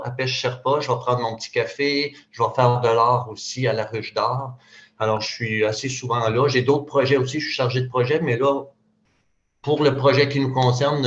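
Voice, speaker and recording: A man in his sixties with a Quebec French accent talks in a relaxed, halting way, full of "euh" and restarts. His voice sits at an ordinary pitch, and the recording sounds roomy and a bit dull, like a lo-fi capture in a meeting space. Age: 60s. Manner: hesitant, many fillers and restarts. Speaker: panel speaker, retired psychoeducator. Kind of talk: casual public talk.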